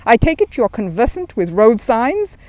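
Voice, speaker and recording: Young policeman for a storybook, read by a woman: bemused, officious yet kindly, lively up-and-down delivery. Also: fairly high pitch, moderate pace, no echo, pitched above the performer's own voice. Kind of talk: children's storybook character reading.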